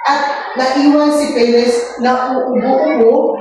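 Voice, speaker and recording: Lady teacher doing read-aloud for kids, highish voice, super echoey room, kinda far from mic. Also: slow pace, over music. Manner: expressive, didactic, prompting listeners. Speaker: elementary school teacher. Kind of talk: storybook read-aloud and questions to children. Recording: echoey room, distant mic, faint noise.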